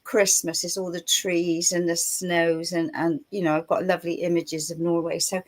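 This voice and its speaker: A woman's voice: on the low side, flat delivery, moderate pace. A woman hosting an online talk show, chatty and rambling, laughing often as she introduces her guest. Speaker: host. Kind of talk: casual chat.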